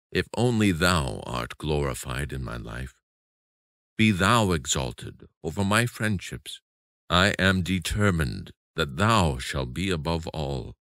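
A man with a deep voice narrates a devotional book at an unhurried pace. His delivery is solemn and deliberate, with plenty of rise and fall in the phrasing. He reads from a script in careful, measured phrases. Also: fairly bright tone, dry recording, clean studio sound. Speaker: audiobook narrator.

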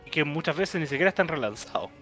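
Chilean co-host, slightly high voice, opinionated, headset call, faint music underneath. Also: male voice, expressive, fast talker, fairly bright tone. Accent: Chilean Spanish. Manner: opinionated, thinks aloud with restarts. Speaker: video game news co-host. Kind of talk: casual panel discussion. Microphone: headset on video call.

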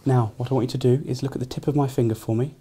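A man's voice: mid-range voice, fairly even intonation, racing, mellow, slightly rough. A young man with a British accent, a doctor giving courteous exam instructions in a small consulting room. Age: young adult. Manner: courteous, frequently thanking. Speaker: doctor, neurology registrar. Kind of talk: polite clinical exam instructions. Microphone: camera across the room.

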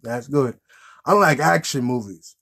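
A man's voice: mid-range voice, a little slow, vocal fry, fairly bright tone, husky. A man in his thirties hosting a children's puppet show in casual, improvised chat.

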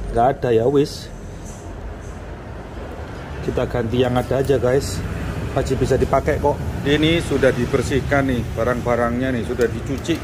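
A man's voice: mid-range voice, background noise. A man in his forties filming a casual family travel vlog outdoors with a handheld camera, chatting and teasing as he talks to his viewers. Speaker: family vlogger. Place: roadside and repair shop, outdoors. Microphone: handheld camera at arm's length. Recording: loud background music. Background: music.

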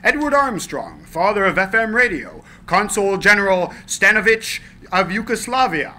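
A man's voice: high-pitched, expressive, moderate pace, sharp tone. A man reading the part of a 1940s newsreel announcer at a script reading. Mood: stirring. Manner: stirring announcer delivery.

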